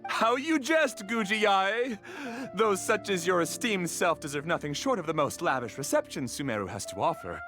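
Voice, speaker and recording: A man voicing a flattering, obsequious tournament organizer in a video game, effusive in manner. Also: sits high, moderate pace, over music. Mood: fawning. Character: fawning male tournament organizer, smooth flattering businessman.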